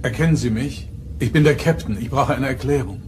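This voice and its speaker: An actor playing a commanding starship captain in an audio drama, formal, insistent and probing. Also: male voice, medium pitch, a little fast, a little mellow, slight background noise, close to an everyday voice. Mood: firm, urgent. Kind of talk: German audio drama acting.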